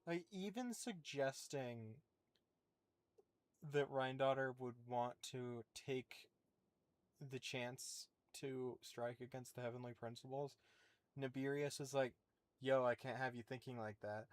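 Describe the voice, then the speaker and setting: A game streamer giving informal, halting reaction commentary, thinking aloud. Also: mid-range voice, no echo. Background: soft game music.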